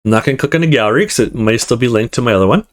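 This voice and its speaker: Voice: medium pitch, racing. A gaming video host giving casual let's play commentary.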